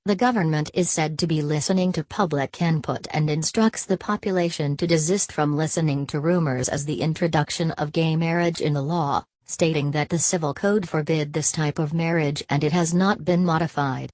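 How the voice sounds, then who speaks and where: Low-voiced woman reading the news. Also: fairly even intonation, normal speed, few pauses, darkish tone, no echo. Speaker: newsreader.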